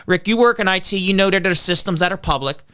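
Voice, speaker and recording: Loud, combative fast-talking man. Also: high voice, smooth. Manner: combative, argumentative, lectures on legal details. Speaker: political candidate guest. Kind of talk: defensive podcast interview.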